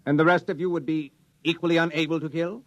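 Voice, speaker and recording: An actor playing a brisk, scornful general, crisp and dismissive, in 1950s radio style. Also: male voice, on the high side, steady tone, highs cut off, lightly acted. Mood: dry questioning. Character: brisk, scornful, calculating military general from Earth. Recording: noisy old radio broadcast.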